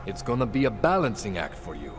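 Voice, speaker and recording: Game role: slick, jittery male underground tech. Swooping, animated pitch, wheedling, music behind. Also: quick pace. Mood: uneasy. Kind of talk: video game cutscene acting.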